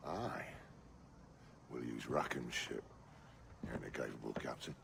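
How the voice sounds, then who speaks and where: An actor playing a coarse, hot-tempered pirate captain with a gravelly, cursing delivery. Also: male voice, a bass voice, lively intonation, unhurried, a bit bright, a little hiss or hum, full character voice. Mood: scheming.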